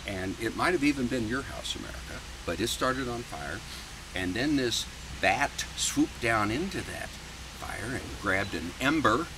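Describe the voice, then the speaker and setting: A man's voice: lively intonation, unhurried, lightly acted. A man voicing a smug, evasive storybook neighbor who fakes sympathy and boasts in a folksy way. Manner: self-satisfied, falsely sympathetic, folksy. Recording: noisy, distant mic with background rumble.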